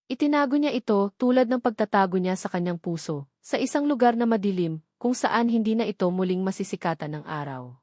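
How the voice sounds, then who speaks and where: A woman's voice: mid-range voice, expressive, a little fast, darkish tone, no room sound. Filipina woman narrating drama, close dry sound. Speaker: story narrator. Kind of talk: scripted dramatic romance narration.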